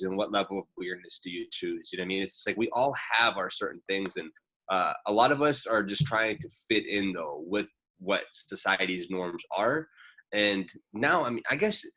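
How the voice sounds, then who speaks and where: A man's voice: lowish voice, expressive, moderate pace, a bit bright. A man in his late twenties co-hosting a podcast, rambling casually with frequent swearing and fillers. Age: 20s. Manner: rambling, profane, lots of fillers. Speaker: podcast co-host, gym owner. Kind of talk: casual chat.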